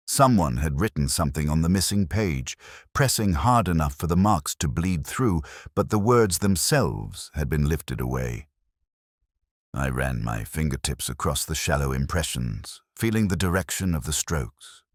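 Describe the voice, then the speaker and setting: A man with an extremely deep voice narrates a detective story in the first person. His delivery is measured and brooding, with plenty of rise and fall, recorded close and dry. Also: dry recording.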